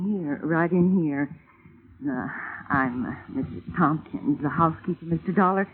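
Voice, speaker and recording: A fussy, prim old housekeeper woman, with a high, hesitant voice full of stammers and throat-clearing. The sound is a tinny, phone-thin old radio broadcast. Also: slight background noise. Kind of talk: 1940s radio acting.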